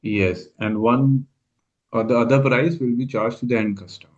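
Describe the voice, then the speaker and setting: A man giving an informal explanatory recap, halting and correcting himself as he goes. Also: mid-range voice, lo-fi sound. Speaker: co-presenter summarizing the process.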